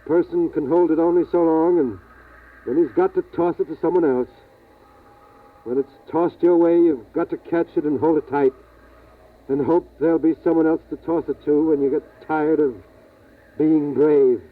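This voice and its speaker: Protective, weary father played by a man, somewhat high male voice, measured and grave, old narrow-band radio drama sound. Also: fairly even intonation, natural voice. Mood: solemn, tender. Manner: measured, grave, halting reassurance. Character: protective, weary father of a family surviving catastrophe. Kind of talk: 1950s radio drama acting. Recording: tinny vintage radio recording.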